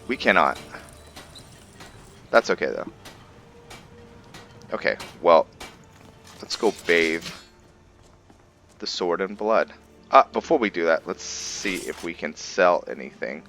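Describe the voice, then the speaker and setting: Very low-voiced man musing over gameplay. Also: animated, slow pace, pauses often, a bit bright, soft background music. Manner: musing, explanatory. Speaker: host of a gaming roleplay series. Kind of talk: casual let's play commentary.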